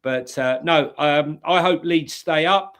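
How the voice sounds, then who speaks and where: A man co-hosting a football news show, rambling with plenty of ums and uhs. His voice is slightly high for a man, and he sounds enthusiastic as he talks. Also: slow pace, fairly bright tone, clear, resonant tone. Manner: rambling, full of fillers and restarts, enthusiastic. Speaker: co-host. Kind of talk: casual football chat.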